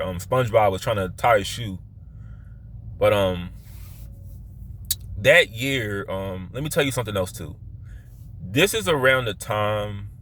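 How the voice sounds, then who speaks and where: Low-voiced Philadelphia man, rambling storyteller. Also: a bit bright. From Philadelphia, United States. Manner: halting, circles back and repeats himself. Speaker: vlogger telling his life story. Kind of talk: casual rambling personal storytelling.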